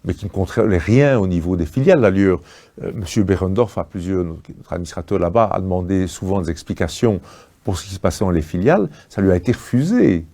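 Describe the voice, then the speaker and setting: A man with a fairly low, soft-edged, relaxed voice. He speaks as a political party spokesman in an interview register. His delivery is assertive, with pauses as he searches for the right word.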